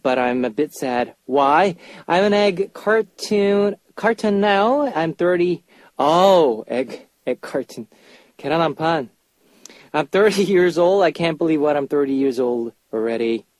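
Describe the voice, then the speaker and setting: A man with a fairly high voice hosts a music radio show in a casual, chatty way. He tends to repeat short phrases as he goes. The sound is very dry, with no room around it. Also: animated, normal speed, dry recording.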